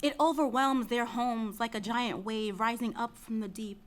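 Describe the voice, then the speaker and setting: A woman reading as a solemn Greek tragedy chorus speaker, measured and declamatory. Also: a little high, fairly even intonation, close to an everyday voice. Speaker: chorus member.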